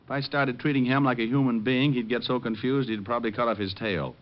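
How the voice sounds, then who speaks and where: A man's voice: mid-range voice, fairly even intonation, a little fast, close to an everyday voice. A man playing a flippant, charming private detective in old radio drama style, teasing and breezy. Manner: teasing, playful, sarcastic. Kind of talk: 1940s-50s radio detective acting with first-person narration. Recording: dull vintage radio recording with music.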